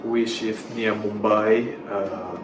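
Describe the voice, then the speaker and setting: A man from Thailand, a native Thai speaker with a Thai accent, chatting casually as an interview guest in a halting way that repeats words. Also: lowish voice, steady tone, music in the background. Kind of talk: casual chat. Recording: echoey room with clear background noise.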